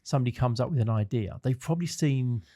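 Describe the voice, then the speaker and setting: A man's voice: medium pitch, quick pace, no echo, studio quality. A man co-hosting a podcast, chatting in a jokey, easygoing way and introducing his guests. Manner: chatty, jokey, repeats words when excited. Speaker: podcast co-host. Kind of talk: casual conversational interview.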